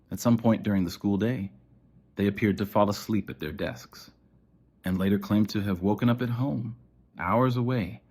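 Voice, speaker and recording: Male, low voice, formal emergency-bulletin narrator for analog horror, measured and ominous. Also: a little mellow. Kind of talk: scripted horror narration as an official emergency announcement.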